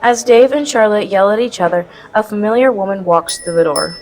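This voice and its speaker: A woman's voice: medium pitch, brisk, a little mellow, natural voice, low-quality recording. A woman narrating a comic student radio play from a script. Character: storyteller of a comic melodrama.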